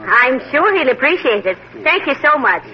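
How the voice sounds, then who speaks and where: Patient sensible wife, high motherly voice, 1940s radio play, faint hiss. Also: sing-song, moderate pace, dull audio. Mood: gentle. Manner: gentle, motherly, calls him dearie. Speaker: sitcom co-lead. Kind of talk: 1940s radio comedy acting. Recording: old radio broadcast with hiss.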